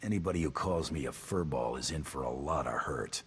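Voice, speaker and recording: A man's voice: rumbling low, animated, fairly quick. A man voicing a tough, laconic bounty hunter in a video game, terse and dryly menacing. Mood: gruffly dry. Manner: terse, dry, threatening. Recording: long hall reverb, background noise.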